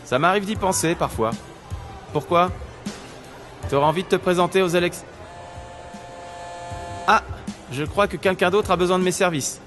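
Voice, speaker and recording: High-voiced composed teenage schoolboy. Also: male voice, normal speed, pauses often, a bit bright, soft background music. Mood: polite, helpful. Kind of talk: animated series dialogue.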